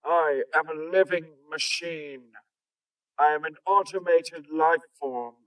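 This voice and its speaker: Male actor as imperious alien robot, formal and grand, slightly higher pitch, a bit off-mic. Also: slow, a bit bright, far from the mic, low-quality recording. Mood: imperious, calm. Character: cold commanding alien living machine, male. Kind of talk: comic dub voice acting.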